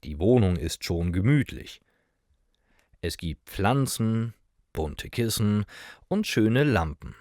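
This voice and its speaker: A man's voice: lowish voice, very animated, slow, pauses often, a little mellow, dry recording, clean studio sound. A man reading a simple scripted story as a narrator for beginner language learners, in short, careful sentences. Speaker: narrator of German learning stories.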